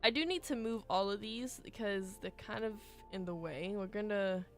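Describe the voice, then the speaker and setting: A woman livestreaming as she builds a game, talking in a chatty, rambling way with lots of fillers, her voice a little hoarse from a cold. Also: medium pitch, moderate pace, faint music behind. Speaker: game streamer. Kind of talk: casual livestream chat while building.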